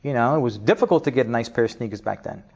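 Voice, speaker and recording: A middle-aged man from Spanish Harlem with a New York accent, giving an animated interview, laughing often and restarting his sentences. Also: medium pitch, racing, husky. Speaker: interview guest, business leader. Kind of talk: casual interview talk.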